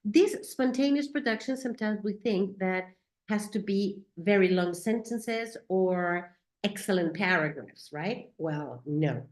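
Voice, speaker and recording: A woman's voice: mid-range voice, animated, measured pace. A woman whose first language is Spanish, speaking English with a Spanish accent as she gives a talk on teaching, often checking in with "right?" and "okay?". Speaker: teacher trainer. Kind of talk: educational talk. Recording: echoey room.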